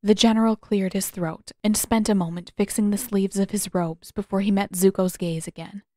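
A woman reading scripted fiction aloud as a narrator, with expressive, dramatic delivery. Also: medium pitch, moderate pace, no echo, clean studio sound. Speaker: audiobook narrator of fan fiction.

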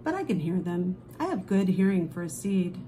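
A woman reading a children's picture book aloud expressively, with dramatic drawn-out emphasis on key phrases. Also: fairly low pitch, very animated, mellow, a little hiss or hum. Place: indoors at a table, book held before camera.

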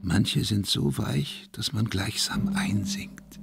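An actor playing a deranged, vengeful madman in a German radio play, hushed and husky, savoring his cruel fantasies word by word. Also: lowish voice, slow, heavily acted. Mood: rapt, gloating. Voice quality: husky, gravelly, breathy whisper.

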